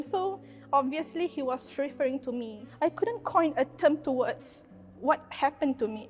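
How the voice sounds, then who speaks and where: A young woman shares personal stories on a discussion panel. Her voice sits high and she speaks with earnest sincerity. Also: darkish tone, highs cut off. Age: 20s. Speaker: lecturer.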